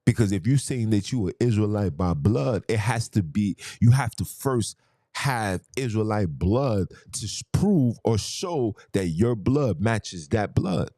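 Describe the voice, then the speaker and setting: A man's voice: lowish voice, expressive, measured pace, warm tone, no echo, studio quality. A man hosting a podcast, arguing in a heated monologue full of rhetorical questions and emphatic repetition. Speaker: podcast host.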